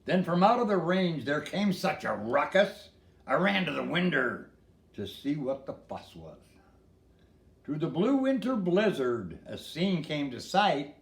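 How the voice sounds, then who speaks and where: A man's voice: a little high, sing-song, measured pace, gravelly, roomy sound. An older man reading a storybook in verse in a folksy way, with casual asides to a listener.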